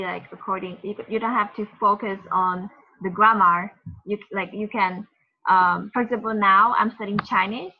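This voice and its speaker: Young woman, Vietnamese native, chatty webinar presenter with plenty of fillers; muffled lo-fi call audio. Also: medium pitch. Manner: chatty, many fillers and restarts. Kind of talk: casual webinar talk. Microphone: video call.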